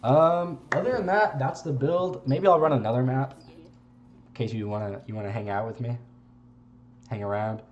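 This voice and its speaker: A young man with a congested voice, giving relaxed, rambling gameplay commentary and swearing casually. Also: mid-range voice, moderate pace, pauses often, dull audio, a little hiss or hum. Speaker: game streamer.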